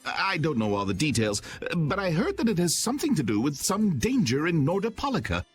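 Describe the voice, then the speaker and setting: Highly animated, high-voiced game merchant. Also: male voice, brisk, faint music behind. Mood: informative. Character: obliging, put-out traveling merchant man. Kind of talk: video game cutscene voice acting.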